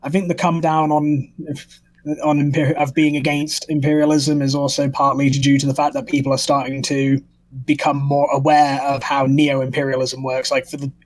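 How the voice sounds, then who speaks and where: A man's voice: mid-range voice, completely flat delivery, moderate pace, dry recording, low-quality recording. A man talking conversationally on a casual political discussion panel.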